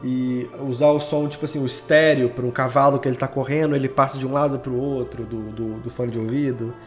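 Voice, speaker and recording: A man's voice: mid-range voice, fairly even intonation, fairly quick, faint music behind. A Brazilian man in a casual interview chat, talking as a podcast guest in an enthusiastic, slangy, rambling way. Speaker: podcast guest, audio drama creator and editor.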